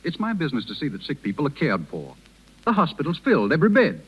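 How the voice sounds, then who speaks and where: An actor playing a kindly, steadfast young small-town doctor, earnest and reassuring, in 1930s radio style. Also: male voice, fairly high pitch, huge pitch swings, fast, husky, heavily acted. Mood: firm, indignant. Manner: reassuring, gently amused, earnest. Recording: dull, lo-fi 1930s radio recording.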